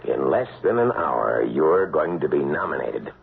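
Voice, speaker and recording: A cunning, menacing political fixer in an old radio drama. The voice is extremely deep and slow, with a deliberate, needling smugness. It comes through a noisy, narrow vintage recording. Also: male voice, sing-song, vocal fry, heavily acted. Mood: firm. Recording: boomy echo, band-limited old radio, noisy.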